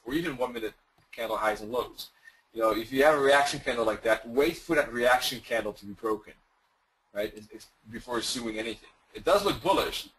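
A man talks through charts on a webinar, his voice strained and fading as he keeps clearing his throat. His delivery is loose and chatty, with restarts and fillers. His pitch sits in the middle of the male range. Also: fairly bright tone, low-quality recording. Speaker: trading webinar presenter. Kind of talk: screen-share webinar explanation.

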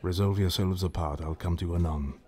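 A man's voice: low voice, fairly even intonation, brisk, warm tone, some chatter behind, close to an everyday voice. A man playing a brooding, scheming usurper king in a classical Shakespearean radio drama. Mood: cold, plotting.

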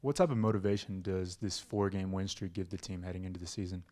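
A man's voice: low voice, steady tone, quick pace, darkish tone. A man, a reporter, asking questions at a press conference, hesitant and stumbling over names.

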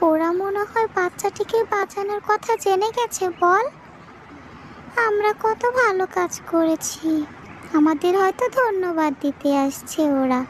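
A trusting, kindly female ghost from a cartoon, played by a woman. The voice is very high and eager, almost naive, with faint noise behind it. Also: measured pace. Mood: hopeful.

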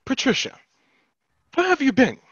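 A young actor playing a blunt, protective, sceptical brother in a play reading over a headset. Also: male voice, extremely high pitch, sing-song, moderate pace, fairly bright tone, husky, dry recording, full character voice. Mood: suspicious. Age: young adult. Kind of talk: stage play reading over video call. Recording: dull video-call headset audio.

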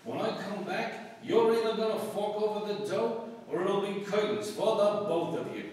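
An actor playing a tough, menacing male gangster who talks in gruff threats. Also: sits high, moderate pace, a little mellow, close to an everyday voice. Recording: echoey hall, distant mic.

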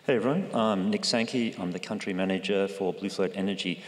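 A man's voice: low voice, brisk. A man, speaking on a conference panel with frequent ums and restarts. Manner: hesitant, many ums and restarts. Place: large conference hall stage. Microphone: handheld mic. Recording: echoey hall.

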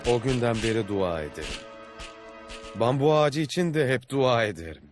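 A man's voice: medium pitch, lively intonation, normal speed, lightly acted. A man playing a seasoned Venetian explorer captain in a dubbed cartoon, earnestly recounting his adventures. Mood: calm, reflective. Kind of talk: dubbed cartoon dialogue and storytelling. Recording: background music under the voice.

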